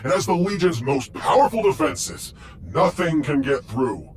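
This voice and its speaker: A man's voice: on the high side, sing-song, moderate pace, vocal fry, slight background noise. A man playing a brash, dismissive henchman guard in a video game. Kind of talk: video game cutscene acting. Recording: game music behind the voice.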